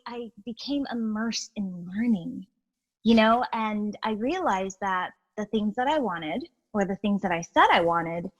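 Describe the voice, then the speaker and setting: A woman's voice: a little high, husky, poor audio. Earnest woman, chatty. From Guyana. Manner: animated and earnest, many "you know"s and restarts. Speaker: guest, healer and educator. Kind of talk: casual interview chat.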